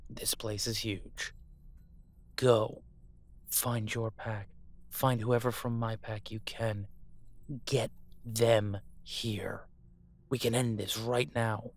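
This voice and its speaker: A man's voice: fairly low pitch, flat delivery, takes it slowly, vocal fry, long pauses. A man acting an embattled, protective supernatural fighter in an audio roleplay, urgent and commanding. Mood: urgent, insistent.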